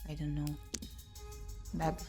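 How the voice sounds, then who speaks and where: A woman doing let's-play commentary, reading game narration aloud and muttering and exclaiming to herself in an expressive way. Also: deep voice, takes it slowly, slightly rough. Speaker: streamer playing and reading a visual novel. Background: music.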